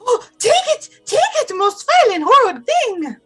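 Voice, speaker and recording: A woman's voice: extremely high pitch, big pitch swings, normal speed, fairly bright tone, faint music behind, full character voice. A woman acting as a sweet, fearful young queen in an audio play, speaking in a formal, deferential, anxious way. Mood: panicked. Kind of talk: theatrical audio drama acting.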